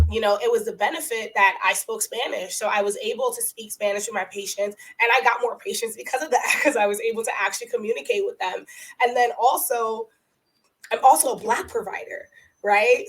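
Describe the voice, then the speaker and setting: A young adult woman with a somewhat high voice tells stories as a podcast guest in a casual, animated way. She laughs often and briefly acts out other people's lines, and her family is from Guatemala. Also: low-quality recording. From United States, family from Guatemala. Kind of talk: casual conversational interview.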